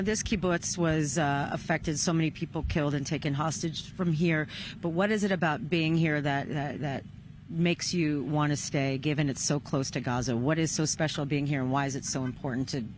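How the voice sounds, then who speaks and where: A woman conducting a live news interview on location outdoors, with halting, hesitant phrasing. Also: low-pitched. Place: outdoors near a rural kibbutz. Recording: noisy outdoor field recording.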